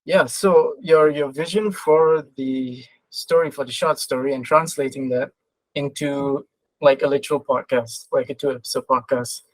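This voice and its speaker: A man's voice: medium pitch, fairly even intonation, moderate pace, no room sound, poor audio. A man chatting casually as an interviewer, loosely rephrasing his questions as he goes.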